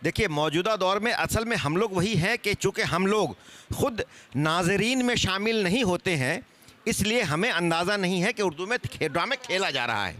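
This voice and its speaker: Bright, high-pitched man explaining on a panel. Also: expressive, normal speed. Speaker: seminar panelist. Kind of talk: explanatory panel talk, listing examples. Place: seminar stage at a live event.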